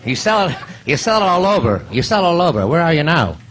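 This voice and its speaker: An actor playing a wisecracking, leering comedian who hosts a radio quiz, with sly, teasing banter. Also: male voice, on the high side, big pitch swings, brisk, fairly bright tone, poor audio. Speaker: quiz show host. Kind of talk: live re-creation of old radio comedy quiz.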